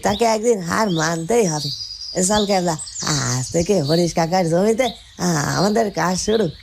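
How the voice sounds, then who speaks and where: A woman voicing an earnest, stammering village sweet-seller turned farm labourer in a cartoon. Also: fairly low pitch. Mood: earnest, resolute. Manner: halting, stammering. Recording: clear noise, birdsong and clicks behind.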